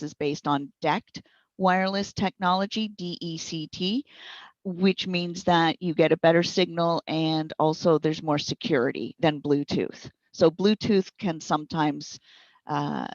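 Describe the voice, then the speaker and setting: A woman giving informal, explanatory interview answers as a software trainer, chatty and folksy in her phrasing. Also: low voice, fairly even intonation, measured pace, dry recording. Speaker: interviewed speech software trainer and support provider. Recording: dull, lo-fi audio.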